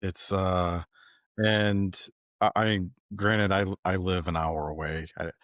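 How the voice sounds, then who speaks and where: Podcast host, American man, low-pitched, casual and halting, recorded dry with no room sound. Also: level tone, a bit bright, no echo. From American Midwest. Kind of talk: casual conversational interview.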